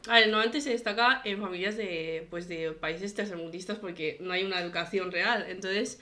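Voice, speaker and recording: A woman's voice: fairly low pitch, normal speed, sharp tone. A young woman from talks casually as a podcast guest, explaining things informally. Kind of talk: casual personal interview.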